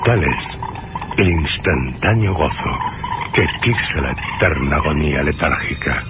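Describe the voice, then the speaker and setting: A man narrating gothic horror in a solemn, deliberate, dramatic way with suspenseful pauses. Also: a bass voice, animated. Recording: music under the voice. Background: music.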